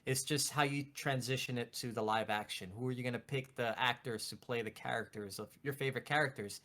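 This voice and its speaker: Excitable man chatting casually. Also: medium pitch, fairly quick. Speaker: podcast co-host. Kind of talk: casual chat about video game movies.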